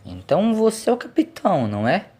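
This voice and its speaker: A man voicing a calm, self-assured male adventurer who asks probing questions in an audio story. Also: high voice, brisk, voice pushed up. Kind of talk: audio story character acting.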